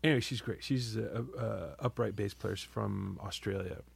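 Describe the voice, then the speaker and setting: A man's voice: fairly low pitch, moderate pace, vocal fry, husky. A man, a guest musician, talking casually with a fellow player and rambling through stories with easy laughs. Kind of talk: casual chat between musicians. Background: guitar playing.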